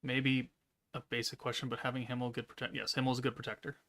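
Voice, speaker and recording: Male streamer, 30s, gravelly and deadpan, laid-back monotone chat. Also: medium pitch, fast talker. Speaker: gaming streamer. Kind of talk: casual live-stream chat, reading chat aloud.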